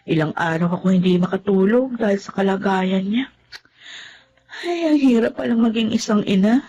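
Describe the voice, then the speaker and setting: A woman's voice: mid-range voice, normal speed, mellow, husky, lo-fi sound. A woman acting an anxious, devoted young mother in a Filipino melodrama, speaking with emotional, pleading delivery. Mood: tender, worried.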